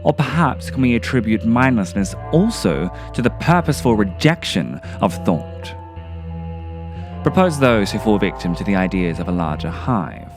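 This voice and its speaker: Low-voiced man, lively contemplative essay narration. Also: normal speed. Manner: contemplative, literary, theatrical phrasing. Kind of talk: scripted video essay narration. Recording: music behind the voice. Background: music.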